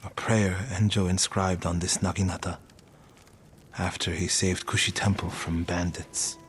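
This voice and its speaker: An actor playing a grieving, vengeful warrior monk in a video game, speaking in a solemn, brooding and terse way. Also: male voice, low-pitched, little pitch movement, measured pace, a little hiss or hum. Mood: grim, grieving. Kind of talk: dramatic video game cutscene acting.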